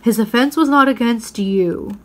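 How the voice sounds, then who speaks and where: A woman voicing a majestic male lion king in a storybook reading, grave and calmly authoritative. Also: on the high side, a little slow, lightly acted.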